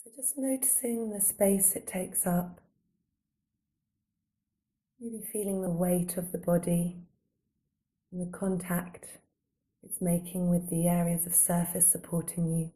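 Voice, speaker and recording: A woman guiding a body scan meditation in a calm, gentle, unhurried manner, giving slow soothing instructions with long pauses. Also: on the low side. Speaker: meditation guide.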